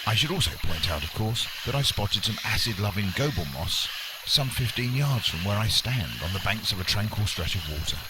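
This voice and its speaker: A man's voice: lowish voice, animated, fairly quick, heavy background noise, natural voice. A man playing a wordy, unflappable, pompous nature presenter in a mock radio documentary, speaking with formal politeness. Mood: unruffled.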